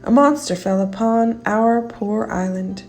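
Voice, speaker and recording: A woman voicing a grouchy old man in a children's storybook, speaking gruffly and gravely. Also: medium pitch, a little slow, a little mellow, faint music behind, close to an everyday voice. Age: older. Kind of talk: children's storybook character reading.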